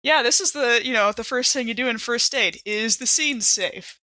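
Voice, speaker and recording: Bright-voiced woman playing a tabletop game. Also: on the high side, moderate pace, no room sound. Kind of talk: casual game table chat, thinking aloud.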